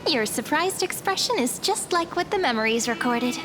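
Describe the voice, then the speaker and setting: Sweet teasing young game companion girl, highish gentle voice, music behind. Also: lively intonation, normal speed. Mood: playful. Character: sweet, playful young woman, a tender friendly companion. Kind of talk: scripted game dialogue, anime-style.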